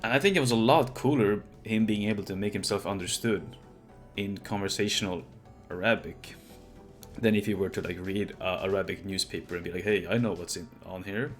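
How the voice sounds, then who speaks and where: A man's voice: fairly low pitch, a little fast, faint music behind. A young man, a university student, chatting casually as host, rambling and restarting as he thinks aloud. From Sweden. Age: young adult. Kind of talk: casual chat.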